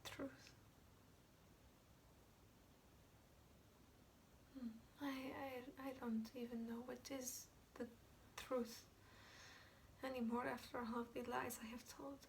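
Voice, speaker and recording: A woman acting a guilt-ridden, fragile Polish woman in a dramatic monologue, with a Polish accent and halting, stammering speech that often drops to whispers. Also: on the high side, flat delivery, vocal fry, distant mic, slight background noise. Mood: hesitant, sorrowful. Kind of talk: dramatic film monologue, amateur.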